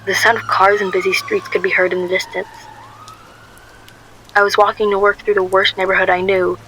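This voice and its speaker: A woman's voice: medium pitch, flat delivery, a little fast, pushed and bright, a little hiss or hum, lightly acted, poor audio. A woman playing an anxious, guarded young shop worker, narrating a radio drama in a wry, confessional way. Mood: wry, uneasy narration.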